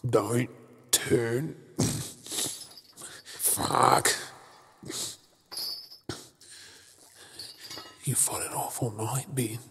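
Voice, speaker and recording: A man with an Australian accent playing an exhausted, defiant captive werewolf in an ASMR audio drama, breathy and often whispering. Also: mid-range voice, fairly even intonation, drawn-out words, a bit bright, a little hiss or hum, heavily acted. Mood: anguished, desperate.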